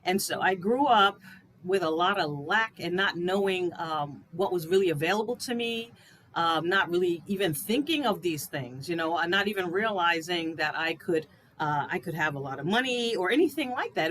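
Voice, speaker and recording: A woman's voice: on the low side, expressive, normal speed, a bit bright. New woman telling her story with a faint hiss. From New York. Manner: earnest, laughs often, many fillers. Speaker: guest, wealth coach. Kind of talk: casual interview storytelling.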